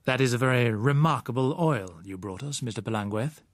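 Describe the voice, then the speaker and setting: A man's voice: mid-range voice, lively intonation, fairly quick, dry recording, lightly acted. A male narrator plays an urbane managing director in an audiobook. He is formal and cautious, speaking in a reflective, guarded way. The recording is dry, with no room sound. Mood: guarded interest. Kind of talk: audiobook character voice.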